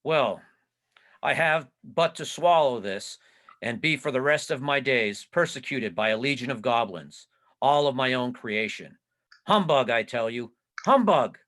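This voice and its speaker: A man's voice: mid-range voice, lively intonation, a little slow, fairly bright tone. A man playing a frightened, stubborn old miser, theatrical and exclaiming, in an amateur reading of a Victorian story. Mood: defiant, scornful.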